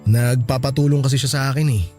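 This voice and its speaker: An actor playing a meek, apologetic young Filipino husband in a radio drama, hesitant and pleading. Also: male voice, mid-range voice, fairly even intonation, quick pace, mellow, faint music behind, lightly acted. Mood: hesitant, coaxing. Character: meek, apologetic young husband, soft-hearted toward his brother.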